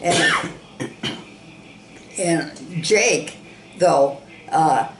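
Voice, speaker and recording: Woman from South Dakota, slow, rambling recollection, echoey room, faint hiss. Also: deep voice. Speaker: interviewee, interviewer's sister. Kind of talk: reminiscing in an interview. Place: room in a ranch home.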